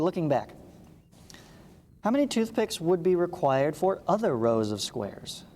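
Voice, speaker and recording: Man, math teacher, soft dark tone, read-along delivery with casual asides, long reverb, noticeable noise. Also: mid-range voice. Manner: explanatory, checks understanding with short asides. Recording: long reverberant echo, clearly noisy background.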